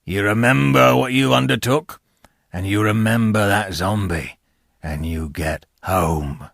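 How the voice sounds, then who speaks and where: Growling, menacing ragged convict. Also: male voice, lowish voice, a little slow, vocal fry, fairly bright tone, no echo, clean studio sound, voice pushed down, heavily acted. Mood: threatening, urgent. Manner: growling, threatening, rustic speech with dropped sounds. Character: menacing, ragged escaped convict, rough and hungry. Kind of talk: dramatised audiobook character voice.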